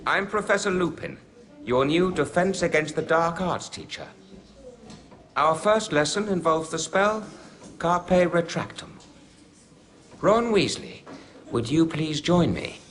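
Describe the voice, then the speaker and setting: An actor playing a calm, gentle teacher in a video game cutscene. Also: male voice, fairly high pitch, measured pace, pauses often. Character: calm kindly male teacher.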